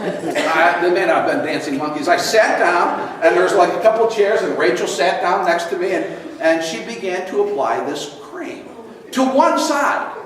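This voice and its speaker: Animated older man preaching, echoey hall. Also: high-pitched, moderate pace. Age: 60s. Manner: humorous, chuckling, lively storyteller. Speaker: pastor. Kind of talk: storytelling sermon. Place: large church hall with congregation. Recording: echoey hall from far off, congregation audible.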